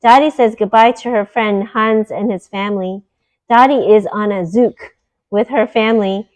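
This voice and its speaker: A woman reading a children's picture book aloud in a deliberate, teacherly way, explaining words as she goes. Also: medium pitch, steady tone, poor audio.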